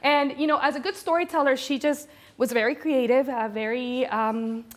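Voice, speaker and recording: A woman's voice: sits high, moderate pace. A woman from Venezuela giving a motivational business talk to an audience, speaking with enthusiastic, conversational emphasis and repeating words to drive points home. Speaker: conference speaker, brand storyteller.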